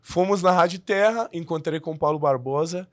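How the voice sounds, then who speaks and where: A man's voice: a little high, fairly quick, no echo, studio quality. A man who learned the language as an adult, a podcast guest telling his story in a casual way with many restarts and fillers.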